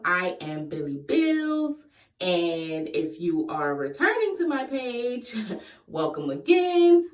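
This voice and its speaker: A woman of twenty-eight chatting casually in a question-and-answer vlog, playful and quick to laugh. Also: mid-range voice. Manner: playful, laughs often, breaks into sung bits. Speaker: vlogger. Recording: echoey room, voice far from the mic.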